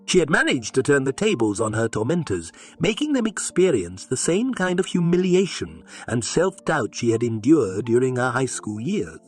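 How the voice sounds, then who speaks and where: A man narrating a scripted dramatic story in a measured, expressive read-aloud delivery. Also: mid-range voice, husky, soft background music. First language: English.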